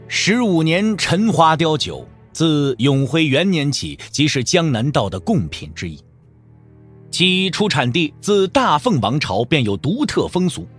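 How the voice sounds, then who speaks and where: A man's voice: a little high, slightly rough. A man narrating a novel as a storyteller in a dramatic, scripted audio drama style.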